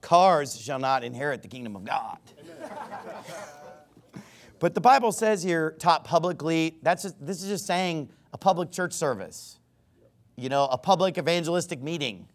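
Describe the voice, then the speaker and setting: Guy preaching a sermon, pretty high voice, hammers points over and over, kinda mocking. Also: expressive, moderate pace. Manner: emphatic, repetitive, mocking preaching. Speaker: pastor.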